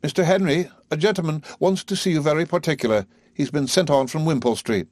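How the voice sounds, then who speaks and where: Male reader as a respectful housemaid, high and soft-spoken. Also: fast, no echo, studio quality, natural voice. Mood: deferential. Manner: polite, deferential. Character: deferential female parlour maid, timid.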